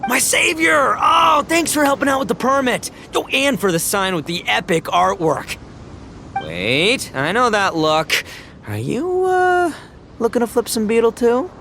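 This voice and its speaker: An actor playing a boisterous, good-hearted young oni gang boss in a video game, slangy, goofy and exclamatory. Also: male voice, very high-pitched, very animated, measured pace, creaky, pushed and bright, a little hiss or hum, exaggerated voice. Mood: excited. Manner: slangy, exclamatory, dim but enthusiastic.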